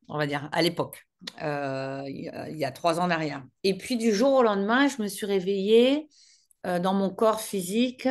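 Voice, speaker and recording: A woman in her forties, from northern France, speaks as a guest at an online meeting. Her voice sits in a middle pitch. She is chatty and friendly, and she speaks straight to her listeners. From northern France, Picardy. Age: 40s. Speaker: invited guest speaker, business mentor. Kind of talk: casual warm talk to an online group.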